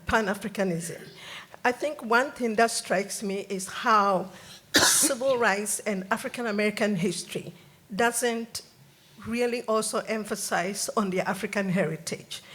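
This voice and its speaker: Woman, audience mic, earnest and halting. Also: mid-range voice, a bit bright. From Ghana. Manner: earnest, halting, with many ums. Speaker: audience member asking a question. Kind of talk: audience question at a public forum. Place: lecture hall, from the audience. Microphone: audience floor microphone.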